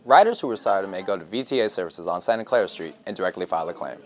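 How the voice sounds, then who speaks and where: A man's voice: low voice, animated, very fast. A man narrating scripted news stories as a reporter.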